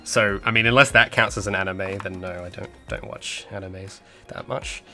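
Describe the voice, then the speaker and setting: A man's voice: deep voice, steady tone, normal speed, sharp tone, soft background music. A man from Australia, an indie game developer, chatting casually on a livestream, rambling with many fillers and restarts. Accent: Australian with some American sounds.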